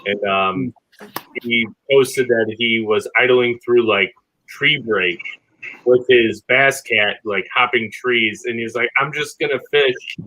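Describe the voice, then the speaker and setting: A man's voice: mid-range voice, bright, forceful tone, dry recording, poor audio. A man chatting casually as a host on a fishing podcast. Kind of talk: casual chat.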